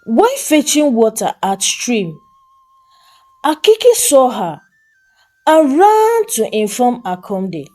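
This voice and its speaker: Lady telling a folktale, takes her time, really expressive, faint music behind. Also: a little high. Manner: measured, expressive delivery. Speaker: storyteller. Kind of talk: dramatic scripted folktale narration.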